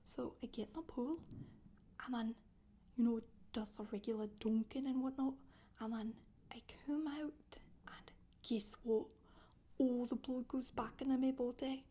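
Soft-spoken Scottish witch, female performer, chatty ASMR storyteller, higher-pitched but husky-breathy, deadpan. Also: a little slow. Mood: casual, confiding. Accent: put-on Scottish. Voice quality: breathy, whispery. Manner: hesitant, colloquial, deadpan. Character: chatty, casual Scottish witch recounting her misdeeds.

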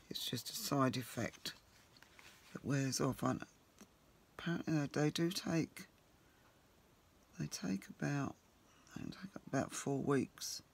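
A man with a croaky voice, chatting casually to himself while he works at a home craft table as host of his crafting channel. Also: medium pitch.

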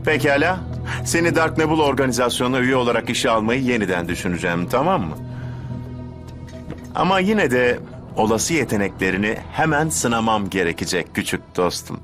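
A man playing a scheming, condescending boss of a villainous organisation in a dubbed anime, smooth and calculating. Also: mid-range voice, expressive, a little fast, close to an everyday voice. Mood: suspicious, condescending. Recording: loud music behind the voice.

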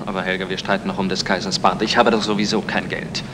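An actor playing a stubborn, evasive husband in an old German radio comedy, brusque, on a reverberant vintage recording. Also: male voice, low-pitched, level tone, fast, background noise. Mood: curt, defensive.

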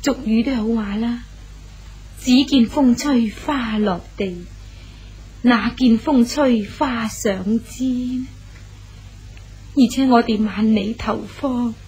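A wistful, worried companion. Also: female voice, on the high side, slow, long pauses, slightly rough, full character voice. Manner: sorrowful, gently pleading. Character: loyal female companion of an old exile, wistful and worried. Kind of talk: radio drama acting.

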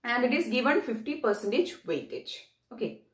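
A woman's voice: on the high side, very animated, moderate pace. A young woman with an Indian accent gives an instructional explanation to camera, repeating key points and often checking in with "okay". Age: young adult. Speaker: presenter of an exam guidance channel. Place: small bare-walled room indoors. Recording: roomy echo, distant mic.

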